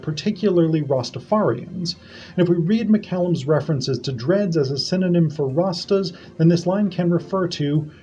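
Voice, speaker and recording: A man presenting an academic conference paper, reading it in a measured and formal way. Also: on the high side, dark tone. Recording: dull lo-fi audio.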